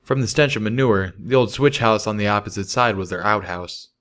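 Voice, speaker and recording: A man with a fairly low voice narrates a first-person story. He reads from a script as an audiobook narrator. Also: brisk, fairly bright tone. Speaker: audiobook reader of fan fiction.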